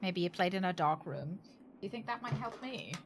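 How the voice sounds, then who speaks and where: A woman doing casual live game commentary, excitable and playful, reacting aloud and swearing freely. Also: fairly low pitch, normal speed, a little hiss or hum. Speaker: game streamer. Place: indoor room, live gaming stream.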